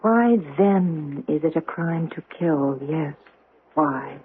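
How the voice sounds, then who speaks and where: An actress playing a sharp-witted, bookish older American woman in a radio drama, speaking precisely with wry emphasis. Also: sits low, animated, slow, narrow, tinny audio, a little hiss or hum. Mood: pondering, intent. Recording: thin band-limited old radio recording.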